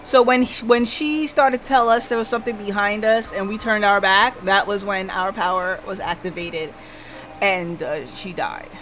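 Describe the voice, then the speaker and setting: A woman's voice: mid-range voice, fairly even intonation, normal speed, a bit bright. A woman livestreamer casually recapping a game, thinking aloud and checking in with listeners, with music behind her. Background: background music.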